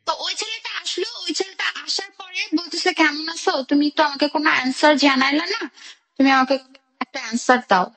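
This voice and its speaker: A woman's voice: high voice, fairly quick, fairly bright tone, poor audio. A young woman with a Bangladeshi accent, telling her own life story as a guest in a radio interview.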